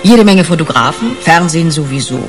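A shrewd, unfussy senior policewoman in a 1980s radio thriller. She is sardonic and colloquial, with a lively, animated pitch. Music plays behind her. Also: fairly quick. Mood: conspiratorial. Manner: blunt, colloquial, teasing. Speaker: actor in a radio crime drama. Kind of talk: 1980s German radio play acting.

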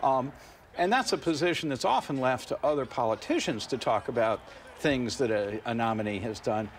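A man in his fifties, a poll director and studio guest, explaining politics in a measured way, with fillers and restarts, on a TV studio couch and a lapel mic. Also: medium pitch, lively intonation, slight background noise. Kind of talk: analytical studio interview. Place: TV studio set, seated on a couch.

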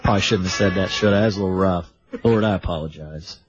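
A man's voice: deep voice, fast. Folksy american guy running a radio call-in, fed up, muffled lo-fi, music behind. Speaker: radio call-in show host. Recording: dull lo-fi radio broadcast.

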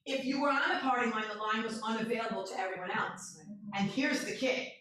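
High-voiced American woman, very echoey room. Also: a little fast, bright, forceful tone. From northeastern USA. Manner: chatty, engages the audience with questions. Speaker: co-presenter at a museum talk. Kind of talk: conversational presentation, storytelling. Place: echoey indoor presentation room. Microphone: far room camera or microphone. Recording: very echoey room, distant mic.

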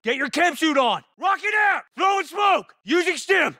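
A tough American soldier in a military shooter game. He gives clipped, commanding combat callouts in a bright, forceful, high-set voice, recorded very dry. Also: animated, normal speed, dry recording, heavily acted. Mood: urgent shouting. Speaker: video game voice actor.

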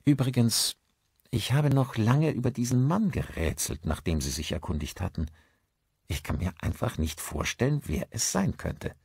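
A hospitable village woman as a male narrator plays her speaks in a raised voice with lively swings. She is a chatty, emphatic neighbour from an audiobook mystery. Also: medium pitch, normal speed, creaky, husky, no echo, clean studio sound, voice pushed up. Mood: puzzled.

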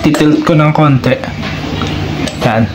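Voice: medium pitch, steady tone, slow. A Filipino vlogger of unclear sex giving a casual, step-by-step hands-on tutorial while working at a table. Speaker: DIY motorcycle vlogger. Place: indoor room at a tabletop. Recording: roomy, very noisy with clinking parts. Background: loud noise and clinking of handled metal parts.

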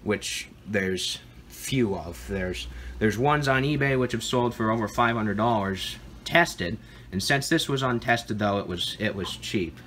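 A man's voice: on the low side, measured pace, fairly bright tone, a little hiss or hum. A man giving an informal, matter-of-fact demonstration of equipment as a video host, recorded indoors at home.

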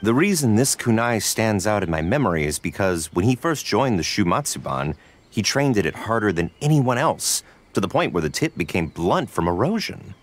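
An actor playing a polite, discreet ninja in a video game, with a measured, thoughtful manner. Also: male voice, fairly low pitch, sing-song. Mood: thoughtful, helpful. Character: polite, discreet male member of a ninja organization. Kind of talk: video game character dialogue. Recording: music behind the voice.

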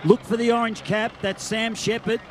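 A man's voice: high voice, moderate pace, a little hiss or hum. A man giving live, chatty race commentary outdoors at a beach event. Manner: chatty, excitable.

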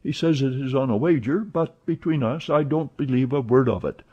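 Man voicing a high excitable french valet, chatty, husky, dull lo-fi sound. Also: lightly acted. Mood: excited. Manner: eager, exclamatory, quick to protest.

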